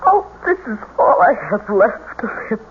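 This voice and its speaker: A woman's voice: mid-range voice, big pitch swings, normal speed, lightly acted. An actress playing a grieving, gullible mother in a radio melodrama, breathless and repeating herself with emotion. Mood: tearful gratitude. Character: grieving, gullible, lonely mother of a dead soldier. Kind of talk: old-time radio melodrama acting. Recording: noisy, tinny old radio broadcast.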